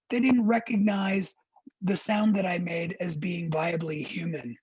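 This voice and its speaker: A middle-aged man with a raspy, breathy voice from throat surgery, telling a personal story in a wry, candid, measured way. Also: high voice, darkish tone, dry recording. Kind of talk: personal storytelling to a virtual audience. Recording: dry, dull lo-fi recording.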